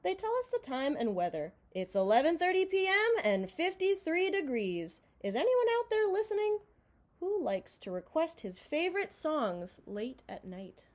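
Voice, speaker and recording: A young woman reads a picture book aloud for children. Her voice is fairly high and swings widely in pitch, giving the narration a very expressive, dramatized delivery. She is recorded indoors at home. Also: moderate pace. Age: young adult. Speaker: storybook reader.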